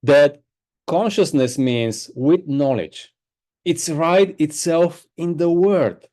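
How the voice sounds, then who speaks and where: Bulgarian-born man, warm, mellow voice. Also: on the high side, slow pace. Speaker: guest, spiritual teacher. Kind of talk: podcast interview.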